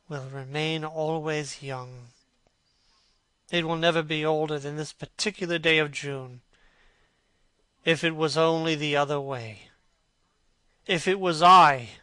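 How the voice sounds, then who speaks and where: A man voicing a vain young gentleman who despairs over growing old, pleading and anguished. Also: fairly high pitch, clean tone, no echo. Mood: wistful despair. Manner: pleading, rising to anguished outbursts.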